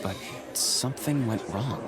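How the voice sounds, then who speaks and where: A man voicing a calm young man in a game, measured and terse. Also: medium pitch, natural voice. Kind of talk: game voice acting. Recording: game mix with crowd noise.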